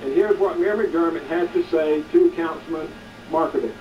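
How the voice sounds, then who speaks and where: A man's voice: fairly high pitch, normal speed. A man, a community activist hosting a local show, gives an indignant, preachy commentary with many hesitations. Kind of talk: editorial commentary to camera. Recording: distant, dull, slightly noisy recording.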